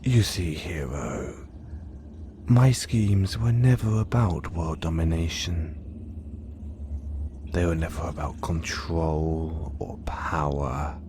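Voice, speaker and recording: A man voicing an obsessive, menacing male supervillain in an audio roleplay, speaking in a slow, hushed, gloating whisper. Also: very deep voice, background noise. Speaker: voice actor.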